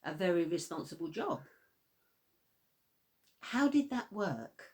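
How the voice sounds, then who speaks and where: A woman acts a careers interviewer in a radio play. Her voice sits a little low but moves up and down a lot. She is polite, probing and slightly awkward, with a corporate manner. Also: big pitch swings, takes it slowly, darkish tone. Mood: curious, tentative. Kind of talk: radio drama acting.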